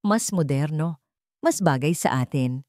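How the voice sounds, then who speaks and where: A woman's voice: low voice, expressive, unhurried, darkish tone, dry recording, studio quality. A woman voicing a smug, controlling, greedy husband in an audio melodrama, with a condescending manner. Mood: self-satisfied.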